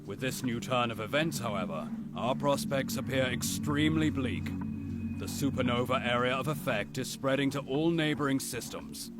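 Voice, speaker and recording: This is a man acting a solemn leader of an alien faction in a game. His speech is formal and grave, middle in pitch, with very loud music behind. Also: normal speed, natural voice. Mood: grave, bleak.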